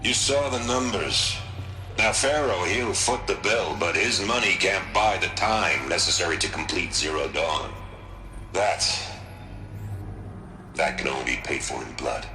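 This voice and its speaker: A man's voice: low-pitched, unhurried. A man with an American accent playing a resolute, commanding middle-aged military chief in a game, firm and authoritative. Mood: grim, forceful. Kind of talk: video game cutscene voice acting. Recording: roomy echo, music behind.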